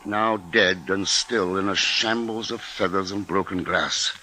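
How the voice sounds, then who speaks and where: An actor playing a shaken but dogged husband and father, narrating gravely and deliberately in a 1950s radio drama. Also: low voice, rough recording. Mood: somber, slow. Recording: old 1950s radio broadcast recording. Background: dramatic music.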